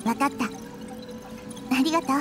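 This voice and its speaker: A woman's voice: sits high, slow pace. A woman voicing a kind, wise little nature goddess in an anime game, girlish yet composed, with refined feminine phrasing. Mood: calm, attentive. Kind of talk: Japanese anime game voice acting. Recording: game music behind.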